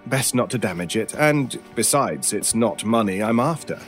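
A man acting a wry, lively British stranger. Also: mid-range voice, faint music behind, close to an everyday voice. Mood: amused, coaxing. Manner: wry, measured, theatrical. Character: cool, teasing, mysterious British stranger who needles people. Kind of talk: old time radio style horror audio drama.

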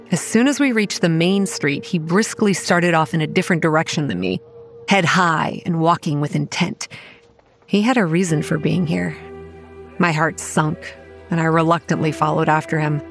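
Casting: anxious yet dry young female lead narrator, horror audio drama, measured storytelling over soft music. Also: deep voice. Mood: measured, uneasy. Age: young adult. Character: Kate, wry, anxious young woman running a campground. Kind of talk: scripted first-person horror narration.

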